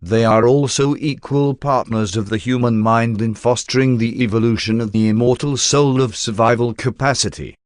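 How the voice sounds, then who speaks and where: A man reading a scripted religious text like an audiobook narrator, in a formal, measured and carefully enunciated manner. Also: mid-range voice, steady tone, no echo, clean studio sound.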